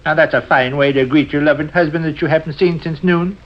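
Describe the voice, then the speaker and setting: A man's voice: on the high side, steady tone, fairly quick, lightly acted. An American actor playing a playful, mock-pompous middle-aged husband and father in 1940s radio comedy. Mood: mock indignant. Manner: florid, mock-pompous, teasing. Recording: dull old radio broadcast recording.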